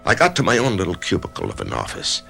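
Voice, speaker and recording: A troubled, death-haunted office man tells his tale in a radio drama. His voice is extremely deep and gravelly, yet it rises and falls a lot. A music score plays behind him. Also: wildly swooping pitch, fast, pushed and bright, exaggerated voice. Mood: brooding. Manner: hesitant, brooding, stammering. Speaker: narrator-protagonist. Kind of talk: 1990s radio drama, first-person narration.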